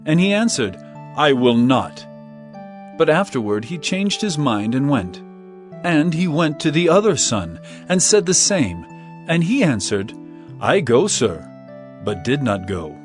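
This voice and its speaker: An actor playing an authoritative, earnest male religious teacher in an audio drama, speaking in emphatic, measured phrases. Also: a little high, very animated, soft background music.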